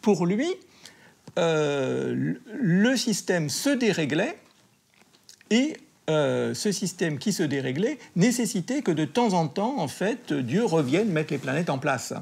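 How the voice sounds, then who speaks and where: A man interviewed for a documentary, his voice rough with fry and husky. He sits a little high in pitch. He thinks aloud and stalls on fillers and restarts. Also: big pitch swings, slow, darkish tone. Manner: hesitant, many fillers and restarts. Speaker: historian of science interviewed.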